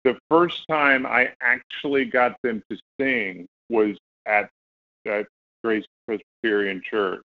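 Middle-aged male guest, relaxed anecdotal delivery, muffled lo-fi sound. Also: fairly low pitch, slow pace. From United States. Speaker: guest actor. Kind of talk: casual interview chat.

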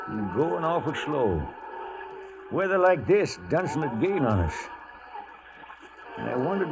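An actor playing a folksy, plain-spoken old cowhand with a rustic Western American drawl, in 1940s radio drama style. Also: male voice, medium pitch, creaky. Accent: rustic American West. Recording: muffled 1940s radio, music behind.

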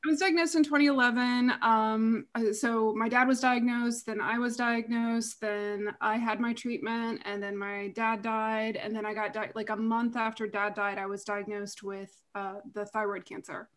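A woman's voice: fairly high pitch, steady tone, normal speed, hardly any pauses, a bit bright. A woman from Virginia, a podcast guest telling her story openly in easy conversation. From Virginia, USA. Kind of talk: casual conversation.

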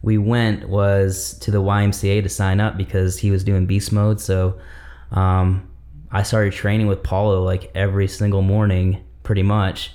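A man's voice: low-pitched, normal speed. A man in his thirties on a podcast, casually telling a story with laughs and acted-out quotes. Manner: animated, laughing, acts out quoted dialogue.

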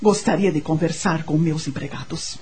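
Husky, grieving mansion mistress. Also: female voice, low voice, a little mellow. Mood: firm, cold. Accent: Brazilian. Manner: formal, measured, commanding. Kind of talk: Portuguese-language radio drama acting.

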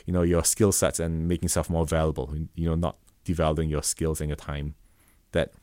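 A man from Malaysia with a slight Malaysian accent, a guest talking earnestly and haltingly in a casual podcast interview. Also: very deep voice, level tone, mellow, smooth. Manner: earnest, halting, thinks aloud. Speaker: guest, robotics business founder.

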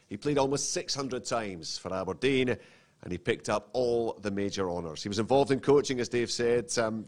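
A man hosting an event in a formal, measured way. His voice sits low. He introduces each speaker in turn. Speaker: master of ceremonies.

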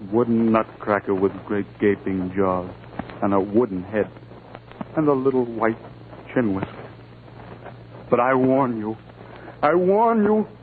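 An actor playing an earnest, brave young lad turned nutcracker in a 1950 radio drama, declaiming melodramatically. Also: male voice, mid-range voice, close to an everyday voice. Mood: forlorn. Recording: tinny, noisy 1950 radio broadcast.

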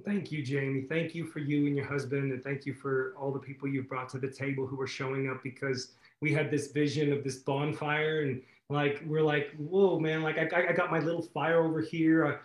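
A man hosts a community video call in an upbeat, excitable way, repeating himself and breaking into loud bursts. His voice sits a little high and sounds roomy and echoey. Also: fairly quick, hardly any pauses. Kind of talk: enthusiastic casual chat.

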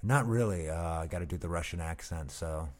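Deep-voiced man chatting haltingly. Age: 30s. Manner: halting, many restarts and fillers. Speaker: guest comedian. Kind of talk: casual interview chat.

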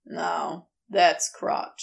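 A woman's voice: deep voice, very animated, extremely slow, gravelly, voice pushed down, full character voice. A woman voicing a casual, irritable man who talks in a clipped, slangy, dismissive way in an audiobook story. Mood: absorbed, satisfied. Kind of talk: audiobook character voice.

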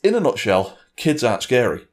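A man's voice: medium pitch, big pitch swings, a little fast. A man chatting casually and giving wry, opinionated reviews, with some swearing and mimicry. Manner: wry, sweary, puts on mock voices while ranting. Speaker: film and game reviewer in a two-person discussion.

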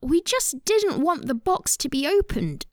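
A woman playing a gossipy, excitable robot assistant who lives in a TV, in a children's audio drama. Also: high voice, unhurried, no room sound, clean studio sound. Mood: excitable, confessing.

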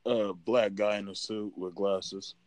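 Low-voiced man, rambling chat. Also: fairly even intonation, a little slow, darkish tone, no room sound. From United States. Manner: rambling, filler-heavy, restarts often. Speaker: podcast guest. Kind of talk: casual chat.

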